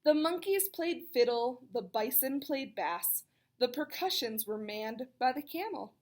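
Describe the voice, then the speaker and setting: Woman, high voice, picture book read-aloud, expressive storytelling, chatty explanatory asides. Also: unhurried.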